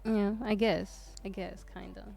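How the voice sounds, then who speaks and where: A woman's voice: medium pitch, flat delivery, unhurried, creaky, warm tone, faint music behind. A woman from Croatia, chatting casually on a livestream, hesitant and rambling with lots of fillers and restarts. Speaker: streamer.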